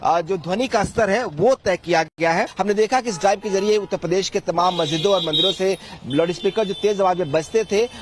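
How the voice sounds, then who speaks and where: Male field reporter, high-pitched, wordy, faint ambient street noise. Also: a little fast, hardly any pauses. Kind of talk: on-location news report.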